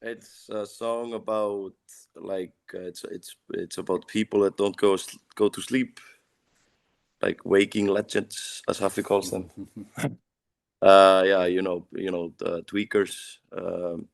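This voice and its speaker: A young Icelandic-accented man with a low, gravelly voice, talking casually about his band. English is his second language, so he pauses to hunt for words and fills the gaps with "like.". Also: flat delivery. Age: young adult. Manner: searches for English words, leans on "like". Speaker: band singer. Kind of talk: casual interview answers.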